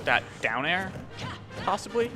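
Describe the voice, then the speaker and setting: A man co-commentating a video game match casually, with hesitant, halting phrasing and an agreeable way of talking. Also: high-pitched, very animated, music in the background. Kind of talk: casual live gaming commentary.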